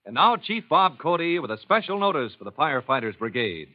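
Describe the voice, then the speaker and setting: A man's voice: fairly high pitch, very animated, a little fast. A man announcing a 1950s radio drama in a dramatic, emphatic style. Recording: dull old radio recording.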